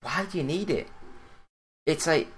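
Plain-spoken monotone Yorkshire man. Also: fairly high pitch, measured pace, breathy edge. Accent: Yorkshire English. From Yorkshire, England. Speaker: podcast host. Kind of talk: solo gaming news podcast.

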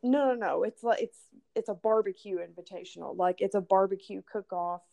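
A hoarse woman, casually telling a rambling, profane story as podcast host. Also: mid-range voice, a little fast, mellow. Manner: rambling, swearing, laughing storyteller. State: hoarse, losing her voice from illness.

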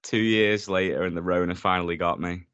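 A man co-hosting a podcast, sick with a rough voice that is deeper than usual, laying out facts steadily. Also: brisk, no echo, lo-fi sound. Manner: steady, presents facts and synopsis methodically. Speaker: podcast co-host. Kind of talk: casual chat.

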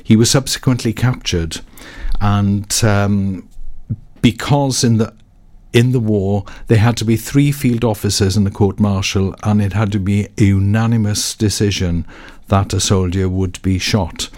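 Low-voiced man telling a story in interview. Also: fairly even intonation, unhurried, a little mellow. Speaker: interview guest, playwright. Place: radio studio.

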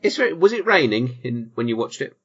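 A man's voice: mid-range voice, big pitch swings, fairly quick, breathy edge, lo-fi sound. A British man hosting a podcast, chatting casually with dry, jokey, sweary banter. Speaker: podcast host. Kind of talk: casual banter and film chat.

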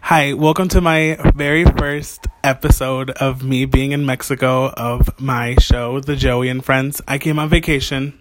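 A man's voice: mid-range voice, fairly even intonation, takes it slowly, few pauses. Playful bilingual man, noisy amateur recording. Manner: playful, foul-mouthed teasing. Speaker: host of an amateur show. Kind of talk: casual chat interview, switching English and Spanish.

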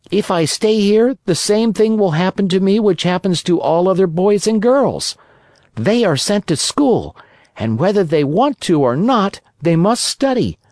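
A storyteller voicing an impudent, quick-tempered wooden marionette boy in a storybook reading. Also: mid-range voice, a little mellow, no room sound, studio quality, voice pushed up. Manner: cheeky, impatient.

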